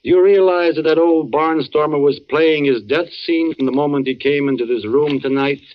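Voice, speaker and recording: An actor playing an earnest, somber man, a knowing family friend, with measured dramatic delivery in 1940s radio style. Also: a little high, lightly acted. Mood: grave. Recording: muffled old radio recording.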